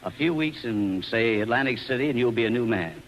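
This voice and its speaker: An actor playing a smooth-talking, scheming hustler with glib, mock-sorrowful persuasion in 1940s radio drama style. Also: male voice, mid-range voice, expressive, a little fast, natural voice, rough recording. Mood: feigned concern. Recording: hissy old radio recording.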